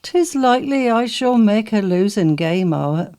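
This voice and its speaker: A woman's voice: medium pitch, lively intonation, normal speed, dark tone, smooth. A woman with a Dorset accent reciting dialect poetry. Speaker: poetry reader, literary society member.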